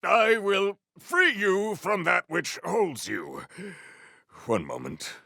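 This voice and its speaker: An actor voicing a proud, honourable video game warrior who speaks in a formal, archaic, solemn and theatrical way. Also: male voice, sits high, huge pitch swings, takes it slowly, vocal fry, pushed and bright, dry recording, clean studio sound, full character voice. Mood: bold, declarative, then determined. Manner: formal, archaic, grandiose phrasing.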